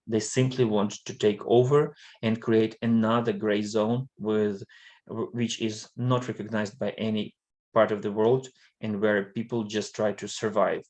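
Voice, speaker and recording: A man from Western Ukraine, a native Ukrainian speaker, giving a hesitant live-streamed presentation in English with frequent pauses and fillers. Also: fairly low pitch, darkish tone. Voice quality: linguist.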